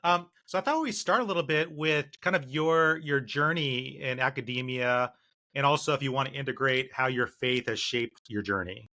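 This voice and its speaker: A man's voice: mid-range voice, moderate pace, pushed and bright. A man hosting a podcast interview, reading a guest introduction and asking questions in an upbeat manner. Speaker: podcast host.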